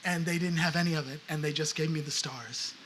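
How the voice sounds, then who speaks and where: A man's voice: fairly high pitch, steady tone, a little fast, a bit bright, a little hiss or hum, poor audio. A man from the United States, a panelist author, telling a story animatedly on a live panel and acting out other people's voices.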